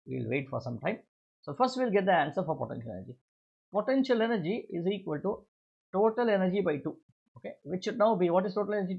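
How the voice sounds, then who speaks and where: A slightly dull, lo-fi recording of a man with an Indian accent teaching a worked exam solution. His voice is husky and creaky. He is didactic, often asking a question, answering it himself and repeating key phrases. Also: high-pitched. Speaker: physics teacher.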